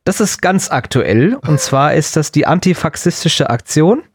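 A man's voice: a little high, expressive, measured pace, husky, dry recording. Man bantering on a podcast, dry sound. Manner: joking, colloquial. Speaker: podcast co-host. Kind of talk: casual chat, bantering Q&A.

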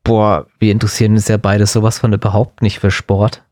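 A man's voice: on the low side, flat delivery, brisk, a little mellow, no echo, clean studio sound. A man chatting casually and jokingly as a podcast co-host. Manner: joking, colloquial. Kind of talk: casual chat, bantering Q&A.

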